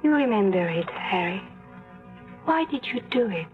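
A woman's voice: medium pitch, lively intonation, normal speed, soft background music, lightly acted. A woman playing a wary young fugitive. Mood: guarded. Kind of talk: 1950s radio drama acting. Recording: thin, tinny old radio broadcast.

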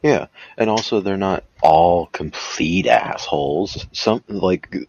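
A man with a deep voice co-hosts a podcast in casual chat. He talks slowly and hesitantly, and the recording is dry and a little dull. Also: a little mellow, no room sound. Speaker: podcast co-host.

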